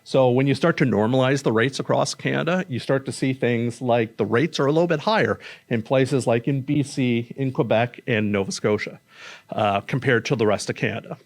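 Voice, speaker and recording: Loud casual man giving a slide talk. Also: mid-range voice, normal speed. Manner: casual, plain-spoken, says he has a loud voice. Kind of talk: public health talk with slides. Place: conference room.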